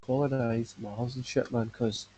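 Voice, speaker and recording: A man's voice: mid-range voice, steady tone, unhurried, dark tone, a little hiss or hum, rough recording. A man hosting a podcast in casual chat, rambling and filling his talk with "like". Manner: rambling, leans on "like" fillers. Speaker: podcast host.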